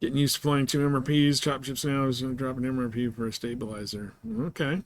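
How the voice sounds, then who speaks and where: A man hosting a live game stream, chatting casually and haltingly with viewers as he thinks aloud in an easygoing way. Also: medium pitch, fairly even intonation, no room sound. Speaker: game livestream host. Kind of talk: casual livestream chat while gaming, answering viewers.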